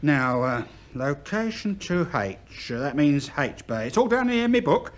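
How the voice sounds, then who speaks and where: Radio drama storekeeper, London accent: officious, chatty grumbler, lively swooping delivery, slightly high male voice. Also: unhurried. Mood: matter-of-fact. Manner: garrulous, complaining, colloquial. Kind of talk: British radio play acting.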